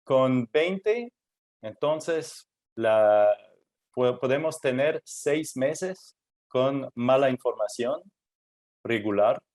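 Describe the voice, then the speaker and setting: A man presenting at a technical workshop in Spanish, which is his third language, speaking haltingly with many fillers and restarts. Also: medium pitch, expressive, darkish tone. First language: not Spanish; Spanish is his third language.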